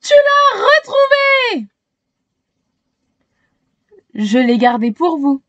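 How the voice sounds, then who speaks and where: A woman's voice: extremely high pitch, huge pitch swings, very slow, fairly bright tone, dry recording, dull audio, pitched above the performer's own voice, full character voice. A woman voicing a young, earnest, determined girl in a children's storybook, exclamatory and breathless. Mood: excited, breathless.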